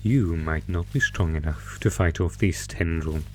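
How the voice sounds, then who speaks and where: A man's voice: deep voice, a little hiss or hum. A man playing an ancient, predatory monster who theatrically taunts human prey in a horror audio roleplay. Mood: menacing, defiant. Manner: theatrical, savoring, taunting, drawn-out phrasing.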